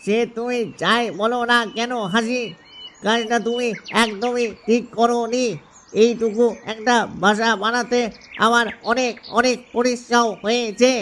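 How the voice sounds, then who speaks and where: A man's voice: very high voice, level tone, measured pace, a bit bright, slight background noise. A man voicing a cautious, fretful father duck in a cartoon, a husband given to grumbling. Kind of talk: cartoon voice acting.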